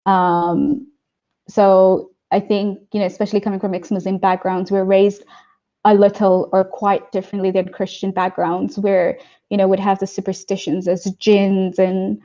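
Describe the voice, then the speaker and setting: A woman's voice: mid-range voice, little pitch movement, warm tone. Chatty joking woman on a muffled call. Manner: chatty, joking, quick to laugh. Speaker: guest. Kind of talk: casual video-call chat.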